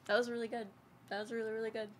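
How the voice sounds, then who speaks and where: A young adult woman, a game streamer, giving a gushing, excitable live reaction in casual chat. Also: a little high, very fast, a little hiss or hum. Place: small indoor streaming room. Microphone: desk boom microphone, headphones on. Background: game trailer music.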